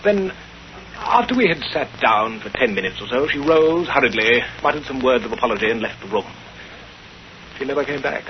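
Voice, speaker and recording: Male actor as haughty English nobleman, halting and formal, widely swinging intonation, muffled vintage radio play with faint hiss. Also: mid-range voice, expressive, lightly acted. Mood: stiff, troubled. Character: pompous, hesitant English aristocrat, aggrieved bridegroom. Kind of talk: 1930s radio acting. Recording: muffled, noisy 1930s radio recording.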